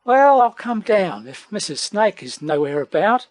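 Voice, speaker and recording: A man's voice: high voice, very animated, a little slow, dry recording. A man voicing an anxious, motherly female koala in a storybook, fretful and protective. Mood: kindly.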